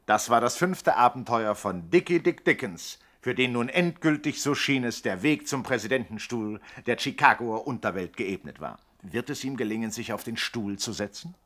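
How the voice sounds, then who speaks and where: An actor as a formal chronicler of a crime saga, narrating a 1960s radio play with deadpan, mock-serious precision. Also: male voice, mid-range voice, moderate pace, hardly any pauses. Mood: mock-solemn.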